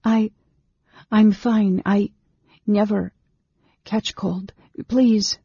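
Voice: medium pitch, fairly even intonation, extremely slow, mellow, slightly rough, dry recording, muffled recording, voice pushed up, heavily acted. A performer voicing a timid, distraught young woman who falters and chokes on words, in a fantasy story. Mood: timid, flustered.

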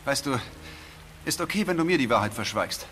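An actor playing an earnest, idealistic young policeman in an audio drama, speaking in a calm and polite manner. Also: mid-range voice, brisk, a little hiss or hum, close to an everyday voice. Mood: resolute. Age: young adult.

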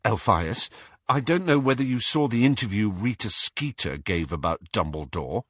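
A man's voice: fairly low pitch, expressive, moderate pace, no echo, studio quality. A man voicing an earnest teenage boy wizard in an audiobook. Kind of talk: audiobook character voice.

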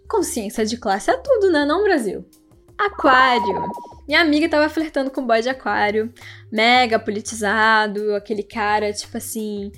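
A young woman with a Brazilian accent, hosting a podcast and telling astrology-themed stories in a casual, laughing, slangy way. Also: on the high side. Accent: Brazilian Portuguese. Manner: chatty, laughing, slangy asides. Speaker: podcast host.

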